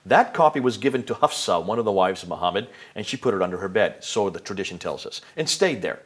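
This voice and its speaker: A man's voice: lowish voice, animated, fast talker, slightly rough. Emphatic man lecturing with rhetorical questions. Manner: frequent fillers and restarts. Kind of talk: explanatory lecture to an interviewer.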